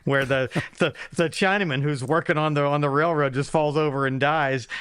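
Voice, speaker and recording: A man's voice: a little high, steady tone, moderate pace, a bit bright, clear, resonant tone, dry recording, studio quality. A middle-aged American man co-hosting a podcast in casual banter, with a wry, jokey and blunt way of talking. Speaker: podcast co-host.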